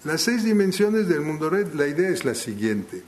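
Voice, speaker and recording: Halting male lecturer, not a native speaker. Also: on the high side, big pitch swings, darkish tone. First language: not Spanish. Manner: halting, full of restarts while thinking aloud. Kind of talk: live lecture to an audience.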